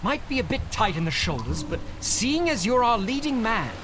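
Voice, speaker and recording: An actor playing an eager, deferential first mate, genteel and flowery, in a theatrical audio drama with music under him. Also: male voice, high voice, very animated, moderate pace, background noise.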